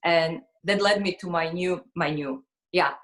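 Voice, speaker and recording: A woman in her forties gives a conversational interview as a guest. Her English carries a Slovak accent, and her voice sits a little on the low side. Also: unhurried, a bit bright. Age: 40s. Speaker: interview guest, fashion model.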